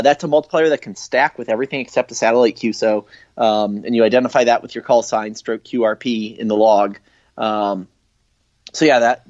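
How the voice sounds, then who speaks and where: A man speaking as a guest on a radio show, explaining details informally and enthusiastically, with frequent halting fillers. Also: mid-range voice, darkish tone, dry recording. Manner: hesitant, halting, filler-heavy delivery. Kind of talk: informal explanatory interview talk. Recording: dull, lo-fi call-in audio.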